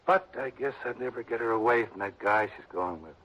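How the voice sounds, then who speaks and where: A man's voice: mid-range voice, a little fast, fairly bright tone, lightly acted. An actor playing a lazy, blunt man who inherits from a dead relative, drawling and defensive, in a 1960s radio drama. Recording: dull lo-fi old radio broadcast.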